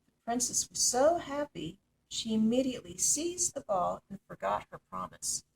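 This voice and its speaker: A woman reading a children's story aloud as an expressive narrator. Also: fairly high pitch, moderate pace, vocal fry, a little hiss or hum, low-quality recording. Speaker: storytime reader.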